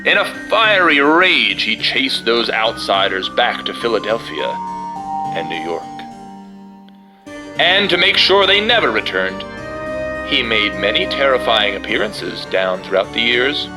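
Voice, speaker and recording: A man's voice: medium pitch, sing-song, measured pace. A man giving a dramatic, theatrical and emphatic reading of a children's story, with music behind him. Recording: music under the voice.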